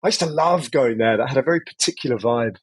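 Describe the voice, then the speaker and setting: A man's voice: medium pitch, quick pace, breathy edge. A man from Britain, a former football commentator, giving opinionated, rambling answers as a podcast guest. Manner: opinionated, digressive, many restarts and "you know". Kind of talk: casual conversational interview.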